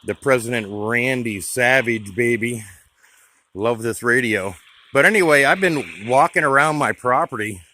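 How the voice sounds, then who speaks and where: A man's voice: medium pitch, unhurried, sharp tone, a little hiss or hum. A man with an American accent talking casually to his viewers in a folksy, chatty way, like a hobbyist vlogger. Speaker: YouTube vlogger, CB radio hobbyist. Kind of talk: casual vlog talk to camera.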